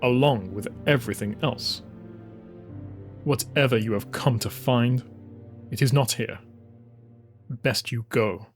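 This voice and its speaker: A man voicing a broken old male commander, bitter and resigned, with a flat clinical manner, in a dramatised audiobook. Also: medium pitch, slow pace, pauses often, soft background music.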